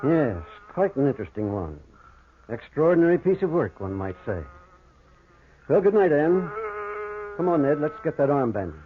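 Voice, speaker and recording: A man's voice: fairly high pitch, big pitch swings, normal speed, long pauses, slightly rough. A man playing an earnest, educated doctor in an old-time radio horror drama, speaking in a precise, formal and grave manner. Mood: calm, measured. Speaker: actor and first-person narrator. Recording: muffled, tinny vintage radio recording.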